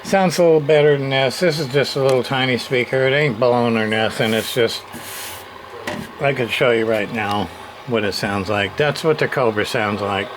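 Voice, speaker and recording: A man talks off the cuff as he demonstrates gear he has worked on. His voice sits at an ordinary middle pitch, and his delivery is rambling and plain-spoken, with folksy grammar. A clear layer of noise, like a vehicle's hum, runs behind him. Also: moderate pace. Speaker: radio repairer and online seller showing gear. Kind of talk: casual unscripted product demo.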